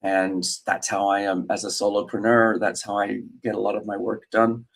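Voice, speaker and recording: A middle-aged man chats loosely on a livestream, his voice sitting a little low. He rambles through viewer comments with lots of ums, uhs and restarts. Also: monotone, moderate pace, vocal fry. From Australia. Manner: rambling, hesitant, many fillers and restarts. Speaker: host. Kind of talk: casual livestream chat, reading viewer comments.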